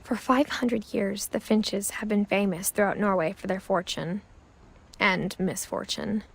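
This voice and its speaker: An actress narrating a video game as a wistful young woman recalling her family's past, soft and often close to a whisper. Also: mid-range voice, fairly even intonation, measured pace, vocal fry, husky. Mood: reflective. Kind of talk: scripted narration. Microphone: studio voice-over.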